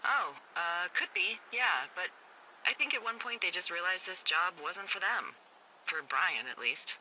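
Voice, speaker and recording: An actress voicing a wry, teasing American fire lookout supervisor in a video game, with a dry, playful and sarcastic manner. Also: female voice, on the low side, expressive, measured pace, pushed and bright, a little hiss or hum, full character voice, low-quality recording. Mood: casual, playful. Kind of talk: naturalistic video game dialogue. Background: background music.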